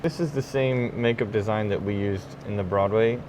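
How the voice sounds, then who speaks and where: A man's voice: on the low side, normal speed, darkish tone, a little hiss or hum. A young man from Chicago with a Midwestern accent, a stage actor chatting backstage with lots of fillers. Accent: Midwestern American. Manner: chatty, laid-back, many fillers. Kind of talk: casual backstage interview. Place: theater dressing room.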